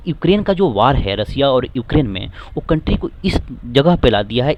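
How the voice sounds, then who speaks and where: A man with an Indian accent walks students through an editorial, stretching each English phrase slowly before explaining it. He spells tricky words out letter by letter in a patient classroom way. His voice sits a little higher than most men's. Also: darkish tone, highs cut off. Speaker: English teacher.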